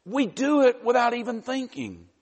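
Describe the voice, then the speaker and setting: A man's voice: very high voice, sing-song, normal speed, long reverb tail. A man with a Southern US accent preaching a sermon in a folksy, earnest way, dropping in frequent "you know" asides. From Southern United States.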